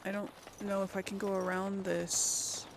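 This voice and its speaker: Slow, creaky-voiced woman gamer. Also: mid-range voice, level tone. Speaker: let's play host. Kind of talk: casual game playthrough commentary. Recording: faint background game noise.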